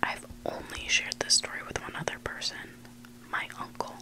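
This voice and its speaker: A person reading stories aloud in a breathy, hushed ASMR whisper, close to the mic. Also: normal speed. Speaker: host reading listener-submitted stories. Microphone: close mic, made for headphones.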